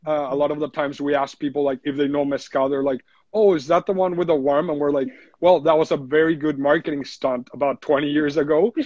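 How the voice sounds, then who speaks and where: A man from Mexico City, a brand co-founder speaking casually in an interview, relaxed and repeating words as he searches for them. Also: on the high side, no echo. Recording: dull dry lo-fi audio room call.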